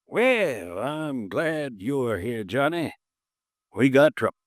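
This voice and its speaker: A man's voice: medium pitch, big pitch swings, takes it slowly, no room sound, clean studio sound. An actor playing a worried, blunt male insurance agent in a 1950s radio drama. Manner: blunt, grumbling.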